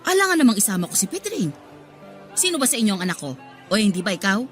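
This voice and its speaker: A woman's voice: medium pitch, big pitch swings, fast talker, fairly bright tone, faint music behind. A woman playing a hardworking single mother who sells at a market, coaxing and scolding expressively in a Filipino radio drama.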